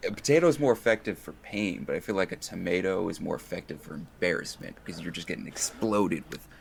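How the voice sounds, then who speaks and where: A young man chatting as a podcast guest, joking in a deadpan, mock-serious way, with some noise on the recording. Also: deep voice, fast talker, creaky, slightly rough. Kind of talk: casual joking chat.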